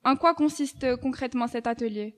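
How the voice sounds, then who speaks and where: A woman's voice: high-pitched, a little fast. A teenage female student presenting a scripted radio column and asking interview questions in a formal, polite way.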